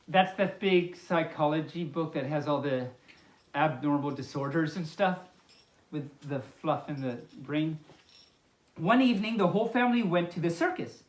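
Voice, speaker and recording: A woman reads a children's picture book in a lively, swooping voice. She keeps drifting into chatty, halting commentary of her own, playful throughout. The room rings with echo. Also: lowish voice. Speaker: storyteller, host. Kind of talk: reading a picture book aloud with casual asides. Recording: echoey, reverberant room sound.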